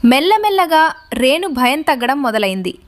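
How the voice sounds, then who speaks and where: A woman's voice: high-pitched, very animated, a little fast. A woman giving scripted narration as the storyteller of a tale.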